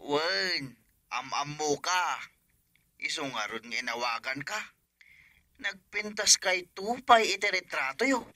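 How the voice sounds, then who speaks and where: Man acting pushy, bright-voiced cheeky caller. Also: a little high, animated, slow, exaggerated voice. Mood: insistent. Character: pushy, cheeky male stranger making a phone call. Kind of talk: rural comedy radio drama acting.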